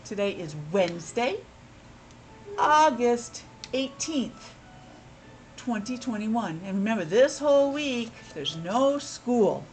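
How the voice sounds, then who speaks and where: A woman's voice: a little high, animated, slow pace, a little mellow, faint music behind, low-quality recording. A woman teaching young children in a playful, exclamatory way, asking questions and sounding out words. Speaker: preschool teacher. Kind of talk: interactive lesson for young children.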